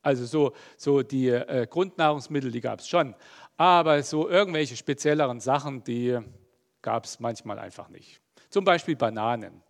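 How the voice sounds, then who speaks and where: Chatty middle-aged preacher with gravelly voice. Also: male voice, medium pitch, moderate pace. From East Germany. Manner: relaxed, chatty, many fillers. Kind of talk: conversational sermon.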